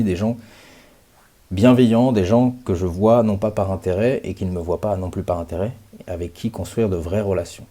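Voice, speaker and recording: A man in his late thirties giving a reflective, measured monologue to his viewers, pausing often and stretching out words. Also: sits low, mellow. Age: 30s. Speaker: channel host.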